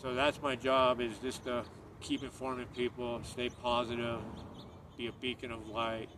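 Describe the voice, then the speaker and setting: Middle-aged man from Northern Ireland, rambling vlogger, monotone, recorded from off-mic outdoors. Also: medium pitch, moderate pace. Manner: earnest, rambling, repeats himself. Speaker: channel host. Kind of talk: casual monologue to camera. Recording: distant mic, street noise.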